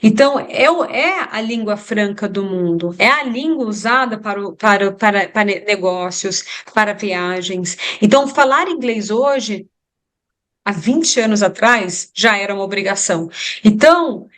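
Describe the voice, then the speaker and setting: A woman's voice: medium pitch, animated, moderate pace, fairly bright tone. A Brazilian woman with a Brazilian Portuguese accent, an English teacher giving advice in an interview, emphatic and repeating her points for emphasis.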